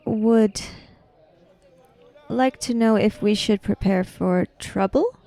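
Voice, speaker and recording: A woman playing a polite, deferential samurai interpreter in a tabletop game, speaking formally and haltingly. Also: medium pitch, dark tone. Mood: polite, careful.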